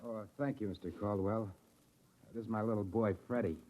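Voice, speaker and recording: A man's voice: fairly low pitch, steady tone, moderate pace, darkish tone, close to an everyday voice. An actor playing a meek, polite shopkeeper father in a 1950s radio drama, mild, hesitant and apologetic. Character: meek, polite small-store owner, father of a brat. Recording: dull vintage radio recording.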